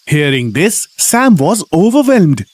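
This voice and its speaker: A woman's voice: fairly low pitch, very animated, takes it slowly, a little mellow, husky, no echo. A woman reading scripted moral story narration in simple, short storybook sentences.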